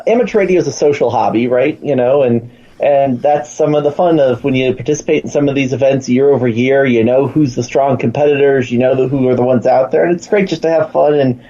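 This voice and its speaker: Mellow-voiced man, slightly dull recording. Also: mid-range voice, a little fast. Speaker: guest. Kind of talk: casual chat.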